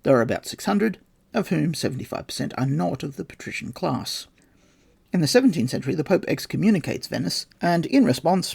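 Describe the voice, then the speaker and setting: A man's voice: fairly high pitch, vocal fry, breathy edge. A man hosting a podcast, reading his research notes aloud with dry, wry asides. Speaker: podcast host.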